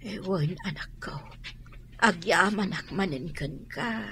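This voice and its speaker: An actress playing a frail, ailing elderly mother, faint, weary and gentle, in a radio drama. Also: fairly low pitch, slow, full character voice. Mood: weak, grateful.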